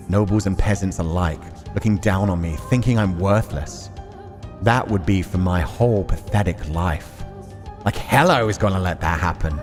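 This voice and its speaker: Man reading as grim, defiant slum-born schemer, low-voiced. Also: a little mellow, natural voice. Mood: bitter, defiant. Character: bitter, determined ambitious man from the slums narrating his past. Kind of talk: dramatic reading of visual novel narration.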